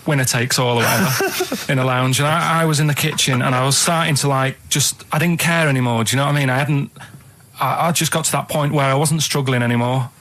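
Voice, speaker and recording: A young man, telling a long story on a radio show in halting, rambling sentences full of restarts. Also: mid-range voice, a bit bright, slight background noise. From England. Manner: rambling, halting, plain-spoken anecdotes with many restarts.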